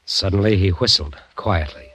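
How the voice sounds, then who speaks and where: A man's voice: deep voice, normal speed, fairly bright tone, slightly rough, poor audio. An American actor playing a haunted bandleader who narrates his eerie tale in intense, suspenseful, vintage radio drama style. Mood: tense, intrigued. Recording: vintage radio recording with music.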